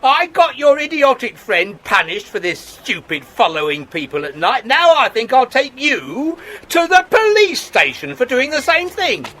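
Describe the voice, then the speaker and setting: A man's voice: extremely high pitch, very animated, measured pace, few pauses, pushed and bright, exaggerated voice, rough recording. A man playing an angry, threatening adult who scolds snooping children in a children's audio drama.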